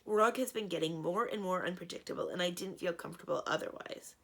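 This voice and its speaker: A woman voicing an eager, chatty, easily worried young female friend in a storybook, animated and earnest. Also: fairly low pitch, fast. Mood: uneasy.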